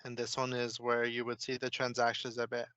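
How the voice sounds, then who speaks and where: Guy doing a casual software demo step by step, crisp bright voice, bit dull online-call audio. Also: medium pitch, monotone, fairly quick, no room sound. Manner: informal, explanatory, step by step. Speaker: software consultant, demo presenter. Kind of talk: casual screen-share software walkthrough.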